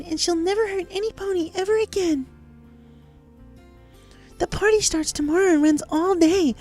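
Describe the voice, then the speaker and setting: A woman's voice: extremely high pitch, darkish tone. A woman playing a desperately upbeat, haunted cartoon pony who reads out her diary with earnest, exaggerated cheer. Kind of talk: dramatized diary reading of fan fiction.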